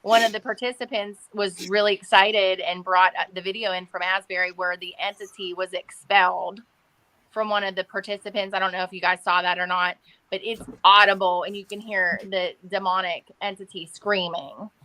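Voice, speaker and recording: Bright-voiced woman panelist. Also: medium pitch, little pitch movement, moderate pace, rough recording. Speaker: talk show panelist. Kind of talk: casual chat.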